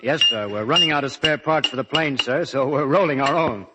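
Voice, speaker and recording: A man playing a respectful, hardworking lieutenant in 1940s radio drama, brisk and deferential. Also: medium pitch, natural voice. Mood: earnest. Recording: old radio recording with slight noise.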